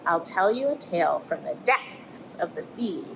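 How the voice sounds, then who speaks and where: Woman reading as lively, plucky little storybook octopus. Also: on the low side, unhurried, full character voice. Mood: storytelling, suspenseful. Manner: expressive storytelling. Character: friendly, plucky little octopus telling his tale. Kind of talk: rhyming picture-book read-aloud. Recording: clear background noise, aquarium room ambience.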